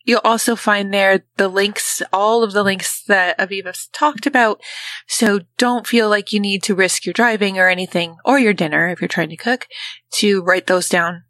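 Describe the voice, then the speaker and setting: A woman's voice: medium pitch, steady tone, normal speed, no room sound. A woman hosting a podcast, chatty and friendly, often turning to speak straight to her listeners. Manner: warm, chatty, addresses listeners directly. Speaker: podcast host. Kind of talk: casual interview hosting.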